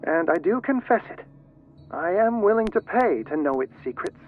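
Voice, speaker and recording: An actor playing a solemn scholar obsessed with occult secrets, reading letters formally through a radio-like filter in a video game. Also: male voice, high-pitched, big pitch swings, normal speed, soft background music. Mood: solemn, confessional. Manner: formal, literary. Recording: tinny, narrow radio-like sound.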